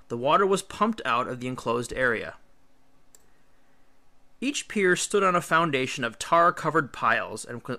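A man's voice: a little high, bright, forceful tone. A male teacher reading an illustrated history book aloud, with emphatic, instructional phrasing.

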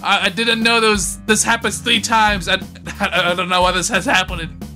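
A man improvising a comedy dub over game cutscenes in a goofy, exaggerated, shouty way. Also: sits high, little pitch movement, moderate pace. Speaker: comedic dubber. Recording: loud music behind.

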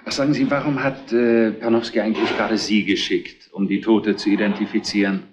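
An actor playing a gruff, methodical police inspector in a retro crime radio play, asking questions in a measured, probing way. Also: male voice, lowish voice, steady tone, natural voice. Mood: sober, polite. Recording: noisy old radio play recording with clicks.

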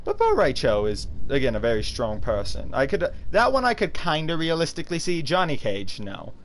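A man's voice: on the high side, wildly swooping pitch, brisk, poor audio. A man chatting casually on a live game stream in a reluctant, self-deprecating way. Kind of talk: casual live-stream chat while gaming. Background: fighting game music.

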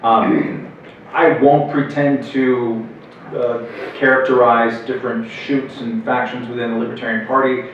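A man's voice: medium pitch, flat delivery, measured pace, a bit bright, a little hiss or hum. A young man moderating a convention Q&A, asking questions hesitantly with many fillers. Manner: hesitant, many ums and uhs. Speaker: interviewer, moderator. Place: hotel meeting room at a convention. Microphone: table mic on a stand, heard from far. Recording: echoey room, distant, dull sound.

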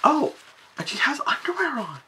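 Chatty young man with a bright voice. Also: moderate pace. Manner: chatty, lots of "like" fillers, expressive pitch jumps. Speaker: doll collector vlogger. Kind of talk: casual unboxing review. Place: bedroom, indoors. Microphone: webcam framing at desk.

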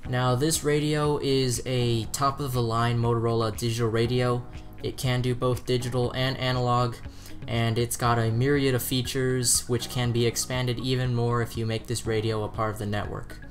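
A man's voice: mid-range voice, level tone, moderate pace. A man presenting a scripted product review as narration. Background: background music.

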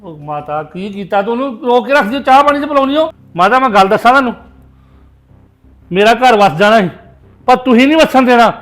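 A man's voice: high voice, animated, moderate pace, pauses often, roomy sound. A man playing a hot-tempered, stubborn grown son in a family drama, complaining and accusing. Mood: angry, accusing.

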